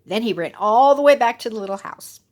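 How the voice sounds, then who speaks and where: A woman's voice: a little high, expressive, brisk, a little mellow. A woman telling a children's fairy tale expressively, with dramatic and playful emphasis. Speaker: storyteller.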